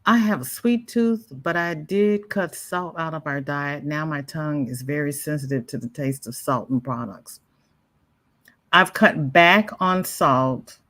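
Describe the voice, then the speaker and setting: A woman hosts a home livestream in a slow, unhurried drawl that sits a little low. She chats and rambles, sounding somewhat congested and stopping often to restart her sentences. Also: fairly bright tone. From American South. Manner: rambling, chatty, self-interrupting with repeated starts. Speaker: crochet livestream host. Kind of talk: casual chat reading viewer comments.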